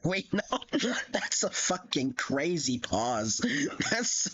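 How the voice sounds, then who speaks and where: A young man with a husky voice reacts casually on a livestream. His pitch jumps around while he swears and trades slang, on a slightly dull mic. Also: very animated, slow pace, fairly bright tone. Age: early 20s. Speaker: streamer.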